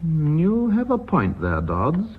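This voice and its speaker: An actor playing a blunt, authoritative older company chairman in a British radio drama, with an English accent and a formal, deliberate, pompous manner. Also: on the high side, wildly swooping pitch, husky, exaggerated voice. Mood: measured, firm. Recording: muffled narrow-band old radio recording, hissy.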